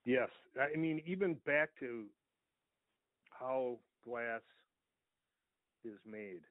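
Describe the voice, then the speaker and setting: A man's voice: mid-range voice, drawn-out words. An American man, a small business owner, earnestly explaining things at length in a conversational interview over the telephone. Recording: thin, echoey phone line.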